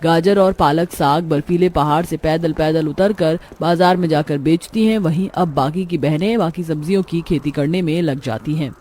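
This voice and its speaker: A woman's voice: low-pitched, moderate pace, hardly any pauses, a little mellow. A woman narrating a scripted moral story as its storyteller.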